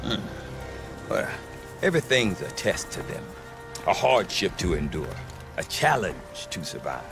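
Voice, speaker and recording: A man's voice: sits low, sing-song, unhurried, creaky, over music, heavily acted. An actor playing a cynical, sardonic male trader in a video game, talking in a wry, dismissive, conversational way. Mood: wry, weary. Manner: wry, dismissive, drawling asides with hesitant hums.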